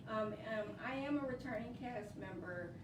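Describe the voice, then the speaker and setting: A woman's voice: mid-range voice, moderate pace. A woman giving an informal reflection as a returning member of a play's cast. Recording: echoey noisy room, distant mic.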